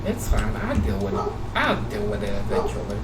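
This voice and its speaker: A man's voice: high-pitched, wildly swooping pitch, a little fast. A man reacting casually to a game, ranting and swearing, repeating his phrases for emphasis. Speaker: gameplay streamer reacting to a visual novel. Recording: echoey, distant mic with loud background noise.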